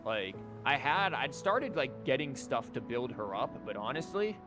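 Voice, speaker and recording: A man's voice: medium pitch, big pitch swings, unhurried. A man chatting casually and ramblingly as he streams a video game. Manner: rambling, conversational. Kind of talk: casual livestream chat and game commentary. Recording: game music behind the voice. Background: game music.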